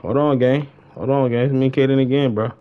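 A man's voice: medium pitch, fairly even intonation, fast. Young American man, dull lo-fi stream audio. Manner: slangy, repeats short phrases. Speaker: live streamer playing an online roleplay shooter game. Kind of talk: casual live gameplay chat.

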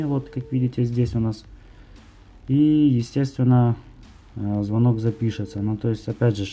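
A young man presents a how-to tutorial in an informal, explanatory way. His voice sits at an average male pitch, with a markedly dark and mellow tone. He talks straight to viewers as the host of a tips channel. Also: a little hiss or hum. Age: young adult.

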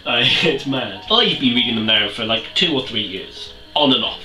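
A man's voice: mid-range voice, animated, normal speed, sharp tone, recorded from a distance, soft background music. A man in his twenties with a Welsh accent, chatting animatedly and self-mockingly in a casual book review vlog. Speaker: book vlogger. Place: small room with bookshelves, indoors. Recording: roomy echo, mic set back.

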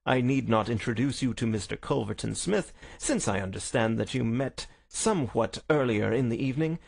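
Storybook detective, played by a male reader: self-assured, wry, theatrical, precise diction, husky and creaky. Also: medium pitch, animated, normal speed. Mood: apologetic. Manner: precise, lofty, courteous. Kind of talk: dramatised audiobook reading.

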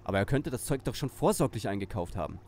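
A man's voice: lowish voice, animated, quick pace, darkish tone, a little hiss or hum, natural voice. A man playing a self-assured, pompous teenage boy who leads a detective gang in an audio drama. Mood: confident.

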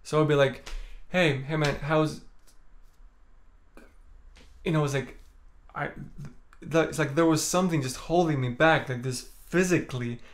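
A young Estonian-born man speaks calmly and softly to a camera set up in front of him in a small room. His talk is candid and unhurried, sprinkled with "like" and "you know" as he tells his story. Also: a little high. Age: young adult. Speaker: vlogger. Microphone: camera in front at chest framing.

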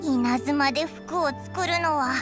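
Squeaky, brash little fairy companion. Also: female voice, slow, over loud music. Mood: surprised, grumbling. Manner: blunt, boyish casual speech. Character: tiny floating fairy companion, childlike, brash and chatty. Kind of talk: anime video game dialogue.

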